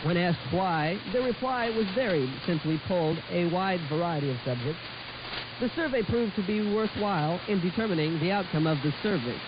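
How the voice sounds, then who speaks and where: Newsreader through heavy shortwave hiss. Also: male voice, high voice, lively intonation, moderate pace. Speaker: mock radio news anchor. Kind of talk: satirical scripted news reading. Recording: hissy, muffled shortwave radio recording.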